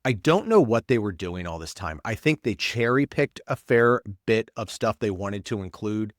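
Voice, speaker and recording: A man's voice: lowish voice, expressive, normal speed, no room sound, studio quality. A man hosting a podcast, sounding congested and stuffed up, rambling and blunt in casual commentary. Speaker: podcast host, paramedic.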